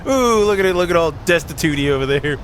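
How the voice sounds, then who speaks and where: A man's voice: fairly high pitch, animated, fast, slight background noise. A man hosting a gaming video, reading a story aloud in a goofy way and breaking off for joking asides, with game music behind him. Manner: goofy, giggly, exclaiming, drifts between reading and quips.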